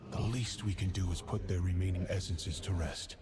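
A man plays a calm dragon leader in a fantasy game. The voice is on the low side, formal and dignified, with clear background ambience. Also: moderate pace, lightly acted. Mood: composed gravity. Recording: game ambience noise behind voice.

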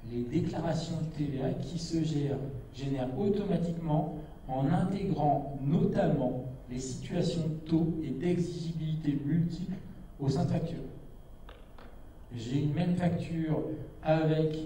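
A man in his fifties giving a product keynote on stage with a handheld mic, brisk with frequent hesitations. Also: a little high, warm tone, far from the mic, a little hiss or hum. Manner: brisk, with many "euh" hesitations. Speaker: keynote presenter. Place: large dark stage with a big screen. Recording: echoey hall, voice from afar.

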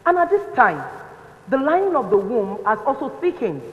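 An actress plays a matronly Nigerian nurse in a stage drama, patient and instructive. Her high voice carries through a reverberant hall on a slightly dull recording. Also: very animated. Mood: patient, explaining. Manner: didactic, deliberate. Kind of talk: stage play dialogue, explanatory. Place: indoor theatre stage set.

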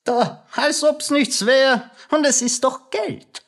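A woman's voice: high voice, slow pace. A woman playing a sly, scornful haggling junk dealer in a radio play, wheedling and sardonic. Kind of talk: German radio play acting.

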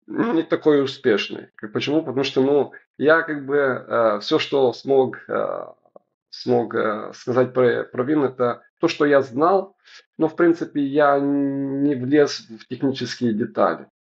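A man in his forties from Romania, speaking Russian as a second language with a Romanian accent, answering interview questions slowly and searching for words with many fillers. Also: medium pitch, vocal fry, highs cut off. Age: 40s. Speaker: sommelier guest. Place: indoors at home, bright room.

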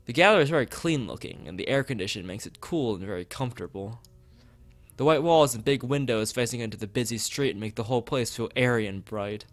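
A man's voice: medium pitch, a little fast, no echo. A man live streaming a visual novel, reading its text aloud and drifting into rambling asides to the chat. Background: background game music.

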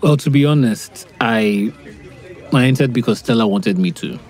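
A man's voice: mid-range voice, moderate pace, a little mellow, lightly acted. An actor playing a modest, self-doubting young man in an audio drama. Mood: hesitant.

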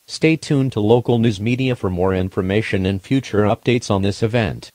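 A low male voice delivers a scripted emergency broadcast in a formal, impersonal way. It sounds synthetic and is recorded very dry, with no room around it. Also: moderate pace, darkish tone, dry recording. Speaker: emergency alert announcer.

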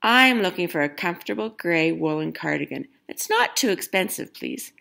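A woman's voice: on the low side, expressive, moderate pace, vocal fry, sharp tone, exaggerated voice. A woman voicing a fussy, polite old grandpa from a picture book, plaintive and politely insistent.

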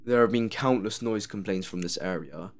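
A man's voice: on the low side, normal speed. Sarcastic man narrating a game. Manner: sarcastic, mocking the game as he plays. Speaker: gamer playing a horror game. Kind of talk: let's play commentary.

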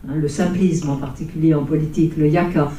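An older woman explains her points as a lecturer on stage. Her tone is very soft-edged and dark. The sound is roomy and a little distant. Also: low-pitched, fairly even intonation, fairly quick, slight background noise. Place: conference hall, on stage. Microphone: handheld microphone.

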